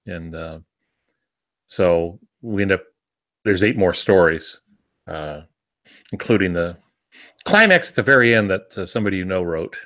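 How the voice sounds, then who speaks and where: A man, an author on a podcast panel, chatting casually about books in a halting, rambling way with frequent pauses. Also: deep voice, lively intonation, fairly bright tone.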